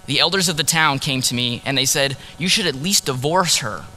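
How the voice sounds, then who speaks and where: A man preaching in the first person as a grieving ancient prophet, earnest and sorrowful, dropping to a hush on key words. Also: medium pitch, fairly quick, a little hiss or hum, rough recording. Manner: earnest, sorrowful storytelling, drops to hushed tones on key words. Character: grieving ancient male prophet, heartbroken husband. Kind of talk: dramatic first-person sermon monologue.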